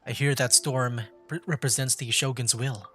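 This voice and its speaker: A man's voice: mid-range voice, steady tone, moderate pace, a bit bright, faint music behind. A man streaming a game with casual, slightly hesitant live commentary, restarting his sentences.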